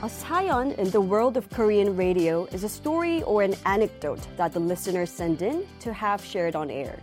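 A woman's voice: medium pitch, animated, moderate pace, over music. A woman DJ hosting a live radio show in the studio, reading out listener messages in a bubbly, nervous way on her first broadcast.

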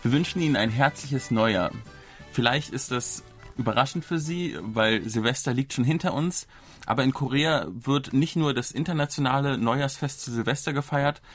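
A man's voice: medium pitch, dry recording. German podcast host in his thirties. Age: 30s. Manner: conversational, explains at length with small restarts. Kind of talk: casual podcast chat.

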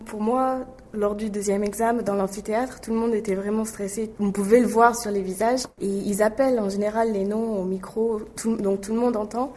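A woman speaks candidly in an interview about her own experience. Her tone is warm and dark at a middle pitch, and her talk stays plain and personal. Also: steady tone, a little fast, few pauses. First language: not Korean. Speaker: interpreting student. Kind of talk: interview answers.

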